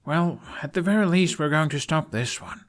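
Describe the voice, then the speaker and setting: A man's voice: on the high side, expressive, normal speed, pitched above the performer's own voice, lightly acted. A man voicing an idealistic, stubborn young prince in an audiobook. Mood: stubborn, hopeful.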